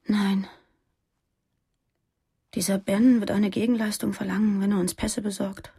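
Idealistic fugitive woman, radio drama, husky, dark mellow tone, intense, intimate. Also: mid-range voice, flat delivery, a little slow. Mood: wary. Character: idealistic former militant woman on the run, earnest, unrepentant. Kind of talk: German radio drama acting.

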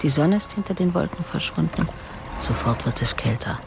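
A woman's voice: deep voice, animated, moderate pace, background noise. An actress in a German radio drama playing a probing female police inspector who also narrates, composed and direct. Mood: descriptive, composed. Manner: direct, controlled questioning.

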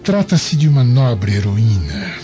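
An actor playing a proud, lustful Roman governor in a radio drama, grandiose and frustrated. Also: male voice, mid-range voice, big pitch swings, unhurried. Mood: shocked, frustrated. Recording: dull lo-fi audio under loud music.

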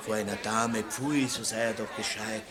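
An actor playing a boorish, cowardly, self-important baron in a radio play, with a Viennese accent and a theatrical manner. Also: male voice, fairly low pitch, fairly even intonation, normal speed, over a noisy crowd, heavy background noise, close to an everyday voice. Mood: pompous, condescending. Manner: pompous, blustering, theatrical. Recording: roomy 1960s radio play studio.

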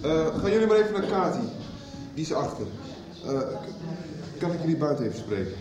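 A man's voice: on the high side, big pitch swings, brisk, over loud music. An actor playing an angry, protective young man in a radio soap, blunt and commanding in the way he talks. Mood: tense, controlled. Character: angry, protective young man confronting his estranged father. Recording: roomy, noisy 1990s radio drama recording.

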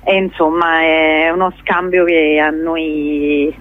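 Slow-paced woman on a phone-like line. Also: deep voice, little pitch movement. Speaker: interview guest, theatre company director. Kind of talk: informal radio interview. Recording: roomy, tinny phone-like line on radio.

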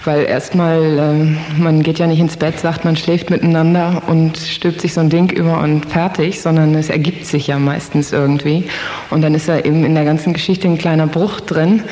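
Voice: medium pitch, flat delivery, moderate pace, warm tone. A person telling a personal anecdote in a colloquial, matter-of-fact way. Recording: very echoey room, faint noise.

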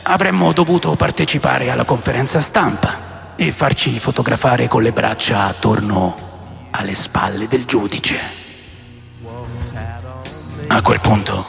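A man narrating a radio drama in the first person, with a gravelly, theatrical and wry storytelling delivery. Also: medium pitch, fairly bright tone. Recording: long reverb, music underneath.

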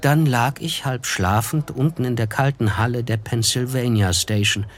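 A man's voice: mid-range voice, moderate pace, lightly acted. An actor playing a reflective, observant young man, narrating a radio drama in the first person with a wry, literary manner. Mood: sober recollection.

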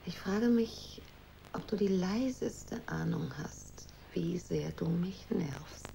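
A woman's voice: fairly low pitch, slow, vocal fry, dark tone, slight background noise, exaggerated voice, poor audio. An actress in a German radio drama playing a jealous, restless married woman who needles others in an intimate, pointed way. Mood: bitter, irritated.

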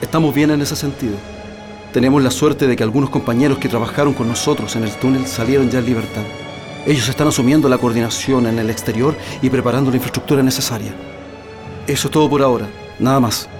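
An actor playing a calm, methodical leader of political prisoners in a radio drama, with a Chilean accent and a measured, reassuring delivery. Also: male voice, medium pitch, close to an everyday voice. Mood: steady, reassuring. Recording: music behind the voice.

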